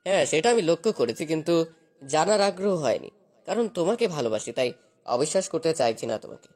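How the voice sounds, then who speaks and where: A man's voice: on the high side, expressive, brisk, darkish tone. A man playing a possessive, domineering young husband in a dramatized romantic story, speaking in a commanding, insistent way. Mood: earnest probing.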